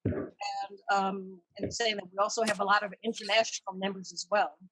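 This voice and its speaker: A woman's voice: mid-range voice, flat delivery, moderate pace, a bit bright, a little hiss or hum, poor audio. A woman hosting an online meeting in a casual, chatty way with self-deprecating humor, speaking over a video call. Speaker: exhibition chair hosting a virtual meeting. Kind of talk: casual welcome and chat. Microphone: tablet on a video call.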